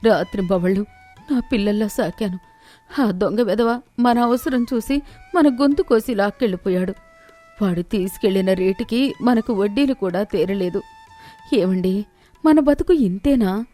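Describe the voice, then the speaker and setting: A woman voicing an outspoken, emotional farmer's wife from a village in an animated moral story. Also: mid-range voice, moderate pace, faint music behind, natural voice. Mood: grieving. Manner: indignant, emotional.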